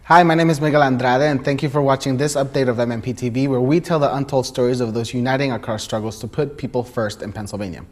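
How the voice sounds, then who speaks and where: A man's voice: mid-range voice, fairly even intonation, quick pace, hardly any pauses. A man hosting an interview, friendly and mixing Spanish phrases into his questions. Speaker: host. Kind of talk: interview host.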